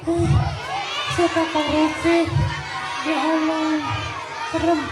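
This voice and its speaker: Squeaky, innocent little boy puppet. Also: completely flat delivery, slow pace, over a noisy crowd. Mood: scared. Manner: wide-eyed, exclaiming, childlike questions. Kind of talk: live religious puppet storytelling for children. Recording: echoey hall PA, music, audience noise.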